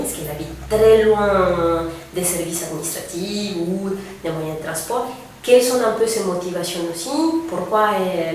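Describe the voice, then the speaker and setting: Echoey, distant woman in her thirties from Mexico. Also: mid-range voice. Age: 30s. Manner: explanatory, measured, with fillers. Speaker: refugee aid coordinator. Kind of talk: presentation to camera. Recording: very echoey room, distant mic, faint noise.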